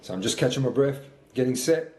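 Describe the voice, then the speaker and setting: A man's voice: mid-range voice, lively intonation, brisk, a little mellow, echoey room. A man of about fifty, talking through his own sprint workout as a fitness coach, motivational and matter-of-fact. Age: 50s.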